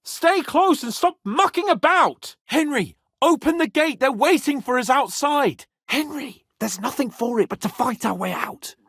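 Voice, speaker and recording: An actor playing a haughty, brash young medieval nobleman in a video game, cocky and teasing, witty, hot-tempered and quick to swear. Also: very high voice, lively intonation, fairly bright tone, no room sound, studio quality, heavily acted. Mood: exasperated, urgent. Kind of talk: dramatic video game voice acting.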